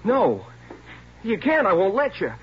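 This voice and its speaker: An actor playing a defiant twenty-year-old car thief in a 1940s radio crime drama, talking back in a surly, excitable way. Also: male voice, high-pitched, measured pace, slightly rough, heavily acted. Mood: panicked, defiant. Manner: surly, tough-kid back talk, excitable. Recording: dull, noisy old radio recording.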